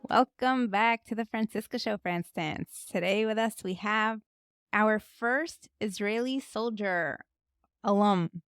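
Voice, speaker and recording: Smooth-voiced woman hosting a podcast. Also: mid-range voice, takes it slowly, no room sound, soft background music. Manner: chatty, informal asides. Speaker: podcast host. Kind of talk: podcast interview, intro and questions.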